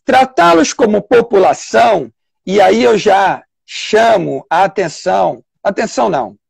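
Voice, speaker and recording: Brazilian guy lecturing on a video call, high voice, real gravelly, dry sound. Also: animated, no room sound. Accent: Brazilian Portuguese. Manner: explanatory, thinks aloud with pauses and restarts. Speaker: public defender giving a lecture. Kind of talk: live online lecture.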